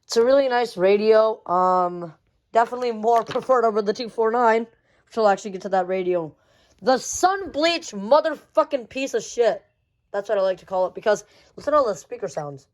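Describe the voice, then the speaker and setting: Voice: medium pitch, expressive, fairly quick. A young person giving a casual, unscripted show-and-tell as a hobby vlogger, with slangy, repetitive phrasing and some mild swearing. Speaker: vlogger showing a weather radio collection.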